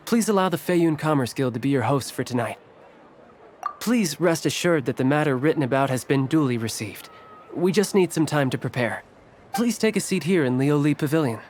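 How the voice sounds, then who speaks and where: A man's voice: mid-range voice, a little mellow, slight background noise, lightly acted. A man playing a polite, studious young nobleman in a video game, speaking in a courtly, formal and articulate way. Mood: courteous.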